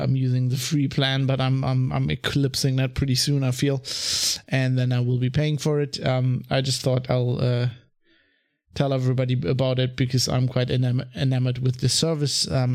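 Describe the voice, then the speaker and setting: A man with a German accent hosting a solo podcast, rambling through his thoughts with many ums and restarts. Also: medium pitch. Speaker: podcast host. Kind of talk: casual solo podcast monologue.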